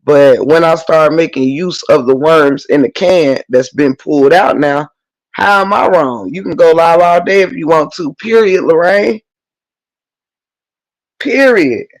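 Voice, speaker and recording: Hoarse, profane man ranting on a livestream. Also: a little high, measured pace, rough recording. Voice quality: profane, emphatic ranting with repetition. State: hoarse, losing voice; congested. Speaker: livestream host. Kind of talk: casual livestream talk, reading chat.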